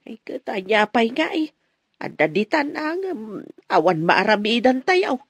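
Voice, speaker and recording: An actress playing a protective, sympathetic mother who gently scolds, in an Ilocano radio drama. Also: fairly high pitch, very animated, a little slow, slightly rough, no echo, exaggerated voice. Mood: concerned.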